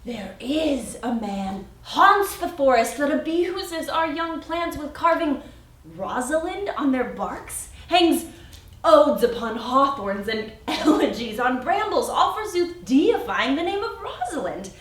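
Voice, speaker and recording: Spirited, witty young stage heroine played by a woman, high and emphatic Shakespearean verse-style delivery, recorded far off in a warehouse. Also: slow, heavily acted. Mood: playful, teasing. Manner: animated, teasing, emphatic. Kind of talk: theatrical Shakespeare monologue. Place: friend's warehouse. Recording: echoey warehouse, distant mic.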